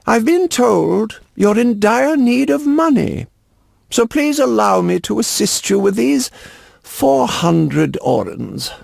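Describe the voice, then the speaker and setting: Fussy rich game collector, very high voice, heavy creak, florid and swooping, genteel. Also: male voice, very animated, takes it slowly, a little mellow, dry recording, clean studio sound, exaggerated voice. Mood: magnanimous. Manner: pompous, florid, genteel, pedantic. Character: fussy, pompous, wealthy male antiquities collector. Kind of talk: video game voice acting.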